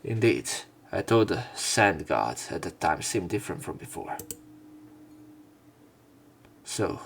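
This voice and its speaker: Low-voiced man reading a visual novel aloud. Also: very animated, slow, creaky, a little hiss or hum. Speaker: let's player narrating and commenting on a visual novel.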